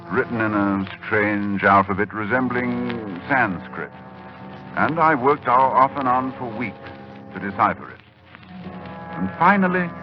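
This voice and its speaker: An actor playing an urgent, dread-filled scholarly doctor in a dramatic 1940s radio horror play. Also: male voice, mid-range voice, lightly acted. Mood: haunted. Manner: dramatic, intense delivery. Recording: muffled 1940s radio recording, music behind.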